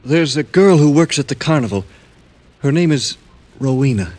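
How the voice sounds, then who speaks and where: An actor playing a very tall, thin carnival performer, formal, mild and lovelorn, in old-time radio drama. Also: male voice, medium pitch, animated, normal speed, a little mellow, lightly acted. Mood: reserved.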